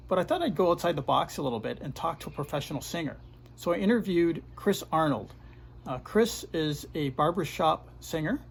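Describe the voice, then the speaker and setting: A man who teaches karate, hosting a casual video-call interview in a relaxed, chatty way with lots of fillers. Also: on the high side, gravelly.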